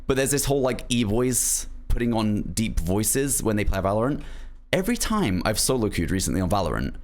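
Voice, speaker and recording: A man's voice: lowish voice, sing-song, fairly quick. Rambling young man chatting to viewers. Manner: rambling, lots of "um" and "like", laughs easily. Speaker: streamer. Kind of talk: casual chat to viewers.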